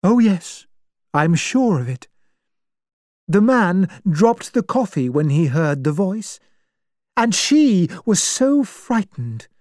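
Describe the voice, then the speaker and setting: A woman's voice: on the low side, big pitch swings, slow pace, darkish tone, dry recording, studio quality, voice pushed up. A woman voicing a stern, self-righteous older spinster who speaks primly and judgmentally. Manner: prim, unbending, judgmental.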